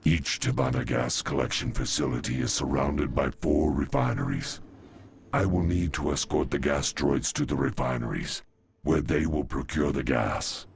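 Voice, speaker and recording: An actor playing a gruff, businesslike mercenary lieutenant in a video game, terse and gravelly. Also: male voice, very deep voice, a little hiss or hum. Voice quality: gravelly, husky. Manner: terse, matter-of-fact.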